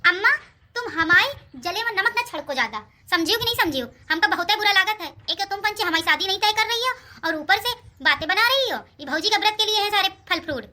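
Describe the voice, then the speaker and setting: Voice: extremely high pitch, lively intonation, fast talker, pushed and bright, heavily acted. A performer voicing a greedy, defensive young village man in a comic cartoon, protesting with a rural Uttar Pradesh accent. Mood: defensive grumbling. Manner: sly, protesting.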